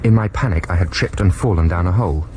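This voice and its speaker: An actor playing a wry adventurer recounting his ordeal in a radio drama, in formal, understated, deadpan first-person narration. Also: low-pitched, fast, natural voice. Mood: grave. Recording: noisy 1990s radio drama broadcast.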